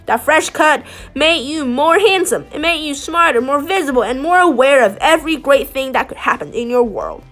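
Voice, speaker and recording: High-voiced woman reading a picture book aloud. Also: animated, normal speed, few pauses, fairly bright tone, faint music behind. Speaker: storybook reader. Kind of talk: expressive read-aloud of a children's picture book.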